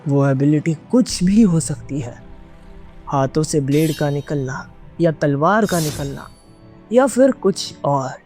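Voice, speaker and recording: A man's voice: a little high, lively intonation, slow, warm tone, faint music behind. A man narrating a scripted dramatic audio story as an audiobook narrator.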